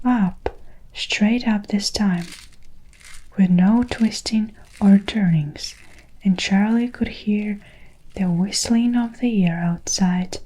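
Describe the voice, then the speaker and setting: A woman reading a children's storybook aloud in a steady, hushed whisper. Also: mid-range voice, low-quality recording.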